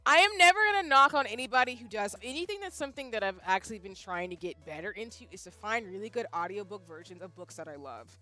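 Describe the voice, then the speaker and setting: Woman, young adult, streamer, casual stream chat, animated, self-deprecating, mid-pitched voice, faint background music. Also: quick pace, hardly any pauses. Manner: animated, chatty, swings from loud bursts to soft asides.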